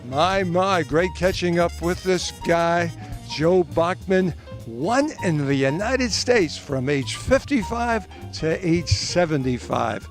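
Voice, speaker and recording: A man's voice: sits high, animated, slow pace, soft background music. A man hosting a tennis show, asking upbeat questions at an outdoor tennis event. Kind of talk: on-location interviewer.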